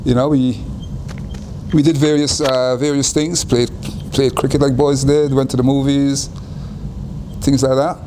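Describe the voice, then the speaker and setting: An older man from Guyana recounts his early years at an unhurried pace, often circling back and repeating his words. His voice sits at an ordinary pitch for a man. A faint background hiss runs beneath the recording. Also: flat delivery, dark tone, rough recording. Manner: unhurried, repeats and restarts phrases. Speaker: interviewee. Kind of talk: reflective life-story interview.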